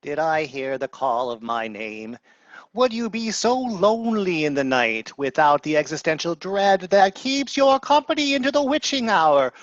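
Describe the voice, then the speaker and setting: A man's voice: fairly high pitch, big pitch swings, moderate pace, dry recording. A man voicing existential dread personified as a haughty, absurd menace in a radio play, taunting and theatrical. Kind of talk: mock-archaic amateur radio play acting. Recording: dull, dry lo-fi video-call audio.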